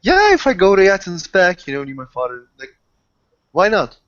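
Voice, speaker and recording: A man playing a roleplaying game in improvised conversation, halting and often repeating his phrases. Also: sits high, wildly swooping pitch, fairly bright tone, no echo. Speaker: player in an online roleplaying game. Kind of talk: in-character improvised roleplay chat. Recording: dry, dull lo-fi voice chat.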